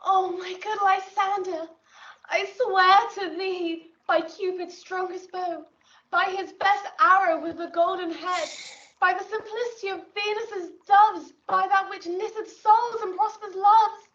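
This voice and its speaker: An actress playing an earnest, devoted young woman in love in a Shakespeare audio drama, delivering verse in a measured, theatrical way. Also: very high voice, fairly even intonation, a bit bright, distant mic, lo-fi sound. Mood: ardent.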